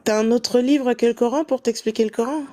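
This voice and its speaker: A woman's voice: on the high side, steady tone, brisk, warm tone, no room sound. A woman in an online debate, speaking in a sarcastic, dismissive way.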